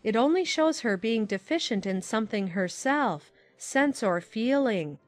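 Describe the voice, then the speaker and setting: A woman voicing a sensible, concerned middle-aged aunt in a dramatized classic novel, gently admonishing in measured, formal phrases. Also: on the high side, lively intonation, faint music behind.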